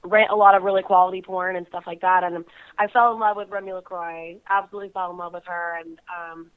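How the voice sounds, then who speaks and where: A woman's voice: on the low side, fairly even intonation, fast talker, clean tone. A young woman from California's Bay Area, a guest calling in to a casual interview, who giggles often between phrases. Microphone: phone call. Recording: tinny phone line.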